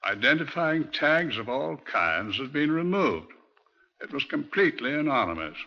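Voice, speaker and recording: An actor playing a genteel, proud British police museum custodian in a 1950s radio drama, formal and precise. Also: male voice, medium pitch, sing-song, moderate pace, sharp tone, full character voice. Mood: solemn, explanatory. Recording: dull old radio recording.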